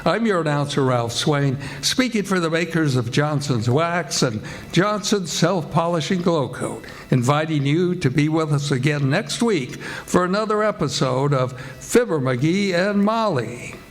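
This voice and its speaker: A man's voice: a little high, expressive, unhurried, few pauses. A man announcing a live radio theatre show in a formal, scripted 1940s style. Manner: formal, presentational. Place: live stage performance before an audience. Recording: live show, loud music and audience.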